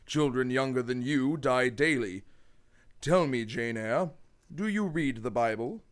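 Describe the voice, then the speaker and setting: A man playing a stern, pompous middle-aged gentleman who preaches sanctimoniously at a child in a radio play. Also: medium pitch, lively intonation, lightly acted. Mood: sanctimonious, mock-shocked. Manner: pompous, slow deliberate preaching with mock-shocked chuckles.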